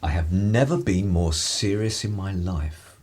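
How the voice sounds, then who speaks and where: A man playing a louche gentleman of the 1930s, husky and drawling. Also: low voice. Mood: languid relish. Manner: slow, languid drawl.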